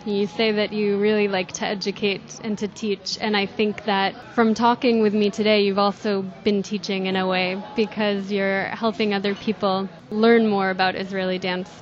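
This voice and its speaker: A woman podcast interviewer asking measured, polite questions and thanking her guest. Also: mid-range voice, little pitch movement, highs cut off.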